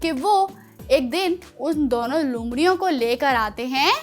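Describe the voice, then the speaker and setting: A woman's voice: high voice, lively intonation, a little slow, faint music behind. A woman hosting a children's show, telling stories in a teacherly way with frequent questions to her listeners. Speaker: children's show host and storyteller. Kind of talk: storytelling to children.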